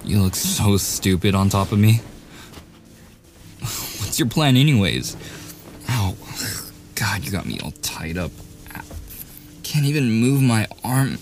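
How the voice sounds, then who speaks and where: Man playing young male student bully, lowish pitch, slow pace, sarcastic, defiant, easily flustered, ASMR roleplay, faint music behind. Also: animated, a little mellow. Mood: alarmed, protesting. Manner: sarcastic, taunting, hesitant stammering under pressure. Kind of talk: boyfriend ASMR audio roleplay, close and intimate acting.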